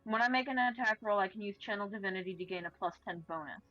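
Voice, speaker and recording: A woman's voice: mid-range voice, fast, no room sound, soft background music. A woman playing a tabletop game, chatting casually with hesitant questions on a slightly muffled mic.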